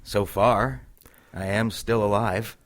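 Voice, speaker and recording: Supernatural audio drama: eerie male occultist, low register, measured and menacing. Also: steady tone. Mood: grim, ominous.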